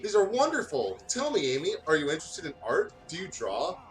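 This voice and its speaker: A man puts on an eager, friendly schoolgirl from a fanfic. The voice is pushed up high and gushes with enthusiasm, lively in pitch over quiet music. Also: fairly quick, recorded from a distance, poor audio. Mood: gushing, friendly. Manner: gushing, eager.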